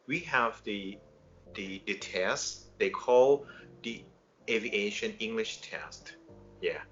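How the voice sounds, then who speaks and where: A man from Thailand with a Thai accent, a native Thai speaker, answering informally as an air traffic controller, repeating phrases and checking with tag questions. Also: medium pitch. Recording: music playing behind the voice.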